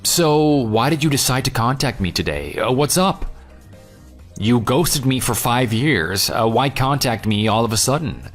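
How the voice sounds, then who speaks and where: A jilted, forgiving groom voiced by a man in an audio drama. His mid-range voice moves up and down in a lively way as he asks earnest questions, over background music. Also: medium pitch, a little slow. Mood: confused, questioning. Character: jilted, forgiving ex-fiance, concerned but questioning. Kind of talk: radio drama dialogue.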